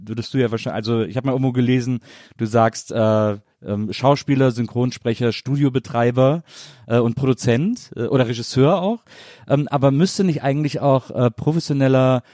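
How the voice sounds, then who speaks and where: A man's voice: mid-range voice, fairly even intonation, a little fast, dark tone, no room sound, studio quality. A man hosting a casual interview podcast, with a wordy, circling way of phrasing things. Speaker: podcast host. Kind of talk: casual interview, chatty introduction.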